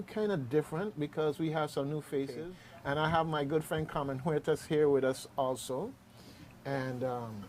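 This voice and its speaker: A man's voice: fairly high pitch, a little mellow, a little hiss or hum. A man hosting a radio show with casual, unscripted talk. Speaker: radio host. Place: radio studio.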